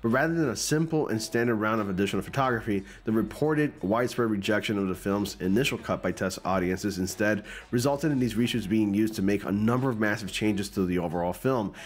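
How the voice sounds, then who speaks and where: A man's voice: fairly low pitch, fairly quick, few pauses. A man in his forties with a stuffy, cold-clogged voice, casually commenting on movie news and reading an article aloud, close to a studio mic in a small room at home. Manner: conversational, reads news text aloud with asides. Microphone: large studio mic close in front.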